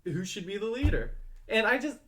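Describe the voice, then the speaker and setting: A man's voice: high-pitched, big pitch swings, a little fast, pushed and bright, a little hiss or hum. A young man co-hosting a podcast, chatting casually in a rambling way full of fillers. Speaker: podcast co-host. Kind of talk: casual chat.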